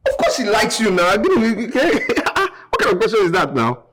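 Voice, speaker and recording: An actor with a Nigerian accent playing a smitten young man who teases and flatters his girlfriend, mixing in local words. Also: high voice, fast, very noisy. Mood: doting. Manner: teasing, exclamatory, mixes in local words. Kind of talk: comedy skit acting.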